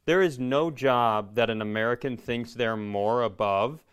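A man in casual comic banter as a podcast co-host, opinionated and quick to swear. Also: mid-range voice, smooth. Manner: opinionated, profane. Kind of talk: casual comedic banter and ranting.

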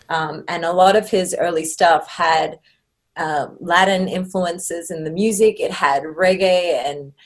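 A woman with a slightly low voice tells stories as a podcast guest. She talks in a lively way, laughs often and makes fun of herself. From Australia. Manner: laughs often, lively storytelling with self-deprecating asides. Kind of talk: casual podcast interview.

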